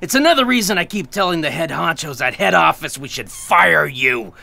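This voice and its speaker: A man's voice: fairly high pitch, animated, moderate pace, creaky, bright, forceful tone, dry recording, full character voice. A man playing an irritable, eccentric intelligence agency chief in a comic audio drama, exasperated and given to barking orders. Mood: irritated. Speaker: voice actor. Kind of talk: comic sci-fi audio theatre acting.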